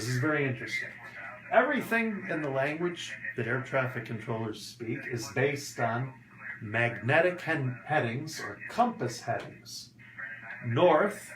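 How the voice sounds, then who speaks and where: A man teaching in an instructional video, explaining in a deliberate way and enunciating key terms and numbers. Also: medium pitch. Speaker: English teacher. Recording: echoey room with background noise.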